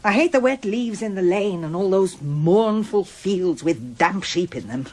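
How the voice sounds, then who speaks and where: An actress playing a candid, reflective middle-aged wife in a radio drama, speaking articulately and earnestly. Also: female voice, mid-range voice, animated, measured pace, darkish tone. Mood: frank, defiant. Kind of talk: radio drama acting, both dialogue and first-person narration.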